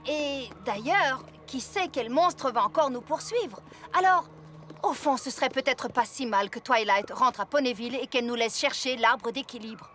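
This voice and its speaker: Frank folksy cartoon pony gal, practical and loyal, high voice, bit of music under it. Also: female voice, moderate pace, a bit bright. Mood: frank, worried. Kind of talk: French cartoon dub acting.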